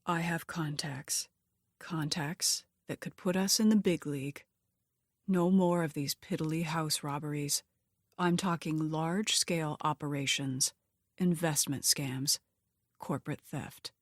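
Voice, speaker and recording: A woman's voice: deep voice, fairly even intonation, a little slow, dry recording, clean studio sound. A woman voicing a cool, calculating young man in an audiobook, controlled and insinuating in manner. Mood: quiet, tempting.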